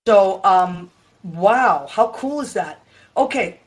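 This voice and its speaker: A hoarse woman in her fifties hosting a casual live chat from home, rambling and tired, talking into a webcam. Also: mid-range voice, animated, takes it slowly. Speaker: livestream host. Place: home room with Christmas tree.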